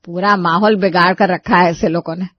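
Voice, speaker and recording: A woman's voice: on the low side, steady tone, a little fast, no room sound, voice pushed down, natural voice. Actress as a protective story mother, middle-aged, emphatic scolder, close muffled sound. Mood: indignant. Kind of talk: animated story dialogue.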